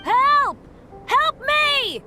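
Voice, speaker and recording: A woman's voice: very high-pitched, very animated, very slow, full character voice. A woman voicing an earnest, eager teenage boy adventurer in a video game, boyish and apologetic in manner. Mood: desperate. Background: game music.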